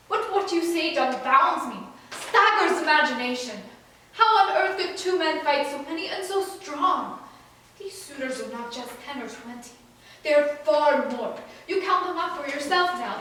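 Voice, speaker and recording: A woman's voice: high-pitched, natural voice. A woman playing an awestruck, fearful young prince in a declamatory stage reading of epic poetry. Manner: declamatory, earnest, dramatic emphasis. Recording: distant, very echoey room, faint noise.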